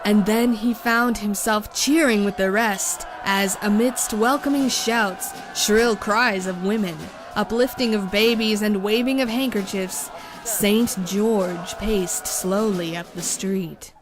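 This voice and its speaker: A woman reading a storybook as narrator, in a steady, measured way. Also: medium pitch, noisy background. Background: music.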